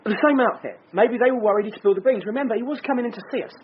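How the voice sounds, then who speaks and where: A man's voice: very high voice, very fast, narrow, tinny audio, slight background noise. A man with a British accent acting in a radio drama as an eager junior detective. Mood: eager, speculative. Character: eager junior male detective floating theories. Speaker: actor.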